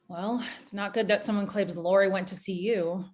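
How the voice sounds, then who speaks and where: A woman's voice: mid-range voice, steady tone, normal speed, a little mellow, close to an everyday voice. A woman playing a shrewd, casual young sidekick at a drama table read. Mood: dry, probing.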